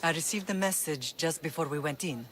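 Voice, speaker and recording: A woman's voice: low voice, fairly quick, fairly bright tone, a little hiss or hum. An actress playing a cautious, composed woman who advises a warrior in a video game cutscene.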